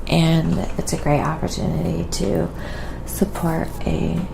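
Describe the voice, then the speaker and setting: A woman's voice: deep voice, little pitch movement, a little slow. A woman hosting a live stream, chatting casually and informally with her viewers. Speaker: live stream host and painter. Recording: clear background noise, live stream.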